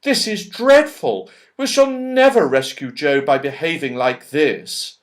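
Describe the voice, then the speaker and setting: A man's voice: fairly high pitch, very animated, unhurried. A man voicing an earnest, dismayed young girl in a children's storybook, with a gasping delivery. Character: Beth, dismayed but determined young girl.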